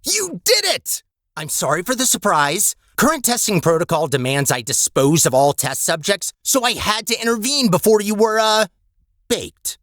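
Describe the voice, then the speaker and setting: Wry robot facilities manager, video game character, officious, condescending, swooping pitch, dry close recording. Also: male voice, fairly bright tone, no echo. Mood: apologetic, candid. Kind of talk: scripted video game voice acting.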